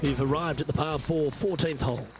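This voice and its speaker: A man acts as a television golf commentator in a sports game, with a fairly high voice. He is composed and informative in his play-by-play, and music plays prominently behind him. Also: a little fast, natural voice.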